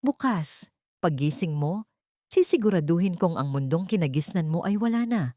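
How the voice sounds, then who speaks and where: Woman reading as a rich big brother, calm and commanding, soft dark voice, dry sound. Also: lowish voice, moderate pace, dry recording, close to an everyday voice. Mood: soothing. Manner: calm, commanding, gentle toward his sister. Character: protective wealthy young man, the heroine's brother.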